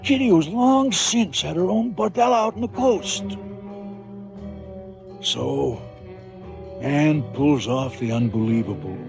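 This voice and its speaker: An actor playing a callous, scheming crime boss, delivering a boastful, cynical monologue in a stylized radio drama. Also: male voice, a little high, animated, slow pace, creaky, long pauses, full character voice. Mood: callous, matter-of-fact. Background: music.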